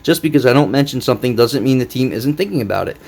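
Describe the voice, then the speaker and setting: A man's voice: mid-range voice, animated, fast talker, creaky, a little mellow. A man hosting a casual gaming read-through with commentary, speaking in a blunt, exasperated way with plenty of swearing. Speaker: gaming video host.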